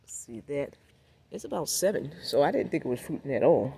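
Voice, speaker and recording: A man with a gravelly, raspy voice, hoarse from a cold, walking through his backyard garden. He rambles in a relaxed way and talks directly to his viewers. His pitch sits a little above the usual for a man. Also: fairly quick. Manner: laid-back, rambling, talks straight to viewers. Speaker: gardening vlogger. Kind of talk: casual walkthrough vlog.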